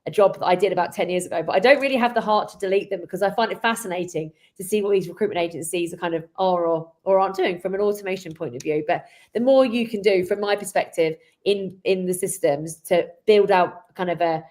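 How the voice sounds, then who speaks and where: A woman hosting a webinar in a conversational way, explaining things a little haltingly and repeating words. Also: on the low side, a little mellow. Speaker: webinar host.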